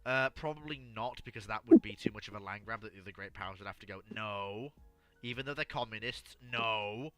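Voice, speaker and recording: A man's voice: lowish voice, fairly quick, bright, forceful tone, soft background music. A man hosting a casual history discussion, often stammering and repeating words as he thinks aloud. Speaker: livestream host answering listener questions.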